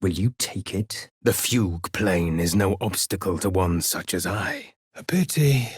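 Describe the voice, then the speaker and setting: A man's voice: deep voice, unhurried, breathy edge, no room sound, clean studio sound. A man playing a solemn, menacing necromancer judge hungry for vengeance in a fantasy video game, speaking formally and theatrically in archaic phrases. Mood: calm, formal.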